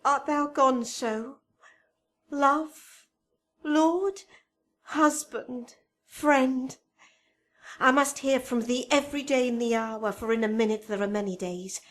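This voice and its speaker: An actress playing Juliet as a passionate young bride in a Shakespearean radio drama, speaking verse dramatically. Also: female voice, high voice, steady tone, a bit bright. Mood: sorrowful longing. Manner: slow, dramatic verse delivery.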